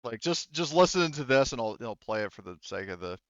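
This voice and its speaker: A man acting as game master in a tabletop roleplaying game, improvising narration, thinking aloud haltingly and swearing casually. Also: medium pitch, sing-song, dry recording, highs cut off.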